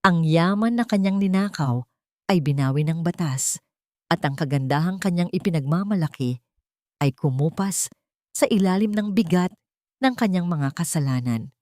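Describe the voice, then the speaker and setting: A woman narrating a dramatic story in a solemn, measured way. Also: on the low side, lively intonation, dark tone, no room sound, clean studio sound. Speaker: audiobook narrator.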